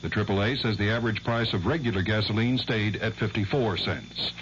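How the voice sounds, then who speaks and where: A man's voice: fairly low pitch, fairly quick, a bit bright. An American man reading a scripted radio news bulletin. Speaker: radio newsreader. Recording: dull old radio recording, faint hum.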